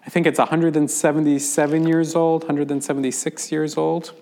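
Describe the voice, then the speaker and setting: An American rabbi speaks in a slightly higher than usual male voice. He lectures informally, stopping for ums and restarts as he explains. He sounds conversational, and he answers questions from his listeners. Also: level tone, fairly quick, darkish tone. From United States. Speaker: rabbi teaching a class.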